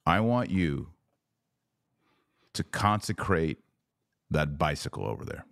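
A man's voice: very deep voice, expressive, slow pace, gravelly, a bit bright, dry recording, studio quality. A man hosting a conversational religious debate podcast, arguing emphatically with hypothetical examples. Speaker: podcast host.

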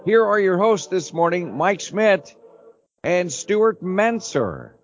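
A man announcing the opening of a radio show in a slow, drawn-out scripted delivery. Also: high-pitched, very animated. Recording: dull lo-fi audio from off-mic.

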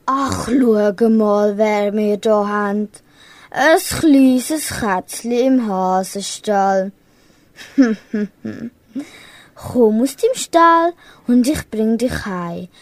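A menacing, hungry fox acted by a young girl in a school radio play. The high voice drawls slowly and gloats. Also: darkish tone, no echo. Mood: gloating menace. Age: young teenager. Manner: drawn-out, gloating. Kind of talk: amateur radio play acting.

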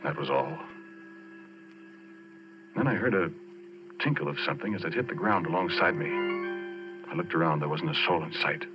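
An actor playing a haunted, plain-spoken oil rig roughneck, telling his story haltingly and colloquially in 1940s radio drama style. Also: low-pitched, natural voice. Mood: hushed dread. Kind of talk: 1940s radio drama acting, first-person narration. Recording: muffled vintage 1940s radio recording, organ-era music.

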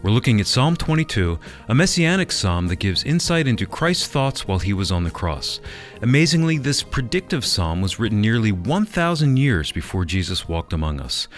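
A man's voice: on the low side, very animated, normal speed. A man working as a radio announcer, reading a scripted program introduction. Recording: voice over background music. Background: music bed.

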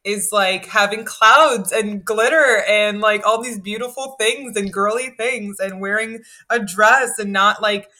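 Bright, chatty woman with a lower voice. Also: measured pace, hardly any pauses. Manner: animated, chatty with many "like" fillers. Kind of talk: casual podcast chat.

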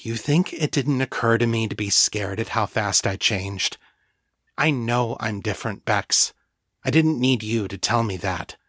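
A man's voice: lowish voice, unhurried, a bit bright, dry recording, studio quality. A man voicing a proud, headstrong woman in an audiobook, blunt, emphatic and defiant. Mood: defiant, angry.

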